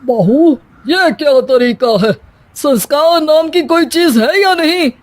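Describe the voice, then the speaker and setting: A woman playing a traditional Indian household elder in an animated story, exasperated and moralizing. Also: sits high, slow. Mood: scandalized.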